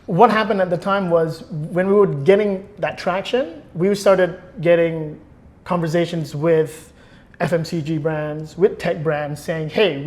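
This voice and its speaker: A man answers as a guest in a conversational interview. His voice sits a little high for a man, and he explains things in an articulate way.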